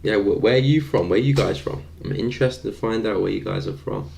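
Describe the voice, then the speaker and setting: A young man with a very low voice and a gravelly, creaky texture, speaking. He talks in a relaxed, thinking-aloud way, as if he were commenting on a live stream. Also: brisk, a little hiss or hum. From London, UK.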